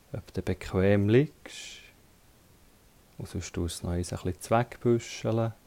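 A man with a Swiss German accent leading a guided relaxation as a yoga teacher, calmly giving short instructions with long pauses. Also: lowish voice.

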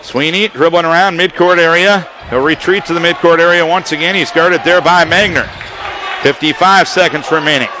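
A fairly high-voiced man calls a basketball game for radio, rattling through passes and shouting on the big plays. Behind him a gym crowd and court noise rumble the whole time. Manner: rapid-fire call that rises to shouts on big plays. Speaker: radio play-by-play announcer. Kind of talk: live basketball play-by-play.

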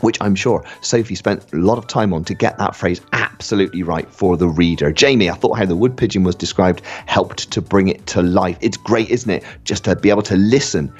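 A man's voice: sits low, a little fast, few pauses, a little mellow, slightly rough, muffled recording, soft background music. A man presenting a school radio show, enthusiastically reading out listeners' comments and praising them.

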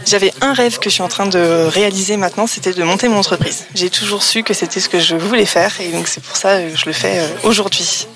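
A woman's voice: medium pitch, quick pace, hardly any pauses, fairly bright tone. A woman, answering interview questions in a shy, modest way. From Paris region, France. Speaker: interview guest, small business founder.

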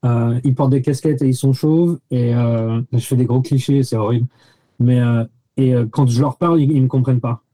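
A man's voice: mid-range voice, steady tone, moderate pace, mellow. A man chatting casually as a guest, telling anecdotes in slangy, informal language and often searching for words. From Nancy, France. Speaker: podcast guest, expatriate living in the United States.

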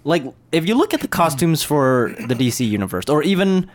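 A man's voice: fairly high pitch, sing-song, fairly quick. A man co-hosting a casual podcast, teasing his friends and laughing often. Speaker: podcast co-host. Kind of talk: casual chat.

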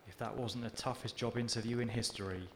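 A man reading his own fiction aloud at a live literary event in steady, measured narration. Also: medium pitch, a little hiss or hum.

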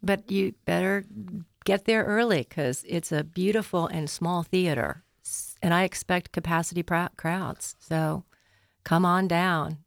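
An older woman speaking reflectively as a guest in a radio interview, with deliberate, emphatic phrasing. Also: on the low side, no room sound. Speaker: interview guest, community theater player. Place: radio studio.

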